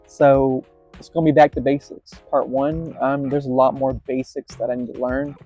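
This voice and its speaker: A man's voice: medium pitch, little pitch movement, moderate pace, warm tone, soft background music. A man in his late twenties filming a casual skateboarding vlog, rambling and self-deprecating, swearing now and then. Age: 20s. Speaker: skateboarding vlogger.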